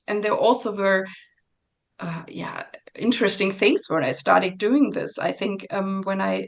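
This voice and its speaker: A woman singer speaks in a reflective interview at a middle pitch. Her voice has a touch of fry, and she talks haltingly, restarting often as she searches for words. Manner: thoughtful, halting, with many restarts and fillers.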